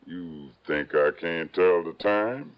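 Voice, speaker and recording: An actor playing a menacing, simple swamp gunman in an old radio drama, talking in a slow, halting, folksy way. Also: deep voice, expressive, heavily acted. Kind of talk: 1950s radio drama acting. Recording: dull vintage radio recording.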